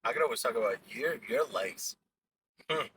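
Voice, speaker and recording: A man's voice: medium pitch, expressive, normal speed, noisy background. A young man from Ecuador hosting a casual podcast from a car, joking and mixing English and Spanish. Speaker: podcast host.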